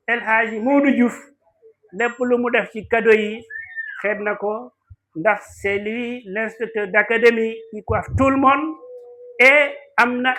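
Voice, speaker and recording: Senegalese man, very high bright voice, slow halting ceremony speaker. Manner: halting, deliberate delivery with pauses. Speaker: speaker at a school awards ceremony. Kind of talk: formal ceremony speech mixing French and Wolof.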